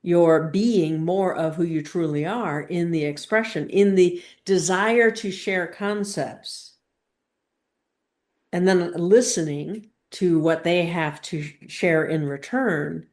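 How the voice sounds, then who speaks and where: A woman leading a spiritual teaching session, speaking in deliberate, halting phrases with emphatic pauses and guiding questions. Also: on the low side, darkish tone.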